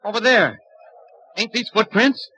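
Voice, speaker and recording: A man's voice: high voice, unhurried, bright, forceful tone, a little hiss or hum. An actor playing a timid, superstitious small-town man in an old-time radio horror drama, folksy, nervous and hesitant. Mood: uneasy, reluctant. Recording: dull vintage radio recording.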